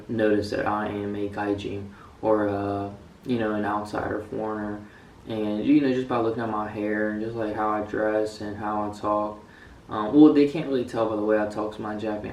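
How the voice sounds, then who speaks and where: A man's voice: on the low side, a little mellow, slight background noise. Rambling young man, echoey room. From United States. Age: young adult. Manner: rambling, many fillers and restarts. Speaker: student vlogger. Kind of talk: casual vlog talk. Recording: echoey room, mic a bit far.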